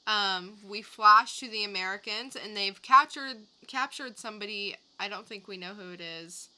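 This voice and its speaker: A woman's voice: medium pitch, moderate pace, sharp tone, low-quality recording. A woman co-hosting a podcast, recapping and reviewing in a casual, conversational way. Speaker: podcast co-host reviewing comics.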